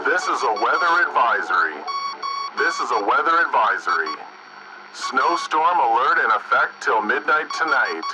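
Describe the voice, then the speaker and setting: A man performs an old-time radio emergency announcer, formal and official, with a swooping delivery. The bulletin is repetitive and comes through clear background noise, like static on a radio. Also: mid-range voice. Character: male weather advisory announcer on the radio, flat and official. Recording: noisy radio broadcast with static.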